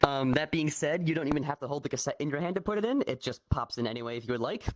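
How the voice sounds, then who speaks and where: A man with a hoarse, raspy voice after an illness, chatting upbeat as he demonstrates his avatar on a stream. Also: a little high, very fast, background noise. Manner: upbeat, chatty demo with fillers. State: hoarse, just recovered from an infection. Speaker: avatar creator presenting his model. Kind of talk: casual live-stream showcase.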